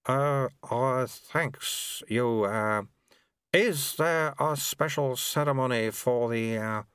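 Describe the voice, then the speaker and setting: A man's voice: medium pitch, slow pace, a bit bright, smooth, no room sound, studio quality, pitched above the performer's own voice. A man voicing a flustered senior male monk who stammers and dithers. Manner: stammering, dithering, full of uhs.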